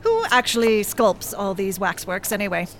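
An actress playing a practical American businesswoman with a dry, measured manner in a radio drama. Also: mid-range voice, sing-song, a little hiss or hum, lightly acted. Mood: inquisitive.

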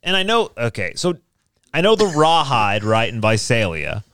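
A man's voice: medium pitch, very animated, moderate pace, fairly bright tone, clean tone, dry recording, studio quality. A man in a casual group chat, explaining things in a conversational way as a talk show panelist. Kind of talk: casual group chat about local sports.

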